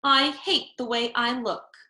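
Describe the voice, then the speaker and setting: A woman voicing an excitable, dramatic little girl from a children's storybook, with a childlike, emphatic way of talking. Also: fairly high pitch, slow pace, roomy sound. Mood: upset, stubborn. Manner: dramatic, childlike, hollering when upset. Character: excitable, dramatic little girl narrating her own mishaps.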